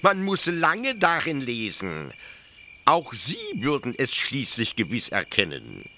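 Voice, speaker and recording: An actor playing a zealous military officer who explains things eagerly, haltingly and pedantically in a German radio play. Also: male voice, mid-range voice, huge pitch swings, bright, forceful tone, a little hiss or hum, heavily acted. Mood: amused, fanatical. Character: zealous male military officer proudly explaining his execution machine.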